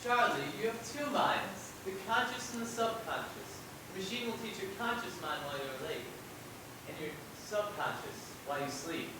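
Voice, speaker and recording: A man's voice: moderate pace, lightly acted. A man playing an earnest, patient scientist, formal and explanatory, in an amateur student stage play. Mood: assured, explaining. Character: earnest, instructive male scientist, patient and assured. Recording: echoey stage, distant mic, noisy rumble.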